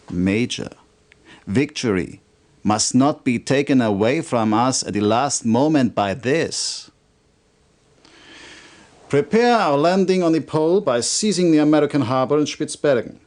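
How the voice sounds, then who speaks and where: An actor playing a fervent, conspiratorial military officer in a video game cutscene, speaking in a formal, emphatic, declamatory way. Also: male voice, mid-range voice, a little mellow. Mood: grave, urgent. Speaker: military officer briefing a commander.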